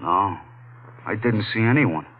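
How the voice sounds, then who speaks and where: A fearful, gossipy janitor from an old radio thriller. His low, rough, husky voice is hesitant and confiding, squeezed through a narrow vintage recording. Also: measured pace. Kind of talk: 1940s radio drama acting.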